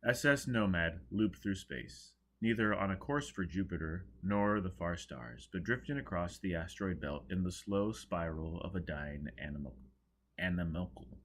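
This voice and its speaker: A man reading an audiobook, narrating dramatically with a theatrical, expressive delivery. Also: deep voice, moderate pace. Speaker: audiobook reader.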